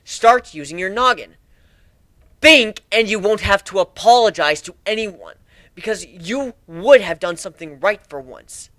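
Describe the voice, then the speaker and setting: A man's voice: sits high, expressive, measured pace, bright, forceful tone, no echo, pitched above the performer's own voice, heavily acted. A man voicing a brash, sarcastic, taunting and exuberant male griffin pirate captain in a dramatic story reading.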